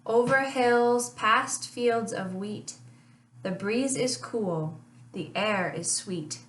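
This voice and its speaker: A woman teacher reading a rhyming children's picture book aloud in a slow, sing-song way, with lively honks. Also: fairly high pitch. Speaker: family literacy teacher. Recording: echoey room, voice a bit far from mic.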